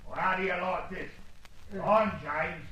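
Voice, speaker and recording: An actor playing a blustering, threatening criminal with slangy speech, in old radio drama style. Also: male voice, high-pitched, unhurried, pushed and bright, dull audio. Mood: menacing. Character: thuggish, threatening male London criminal. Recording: echoey old radio studio recording.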